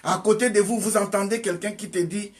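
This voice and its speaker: A man preaching a sermon, asking his listeners question after question, his voice swinging between loud and soft. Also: sits high, steady tone, fairly quick. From Congo. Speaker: evangelist preacher.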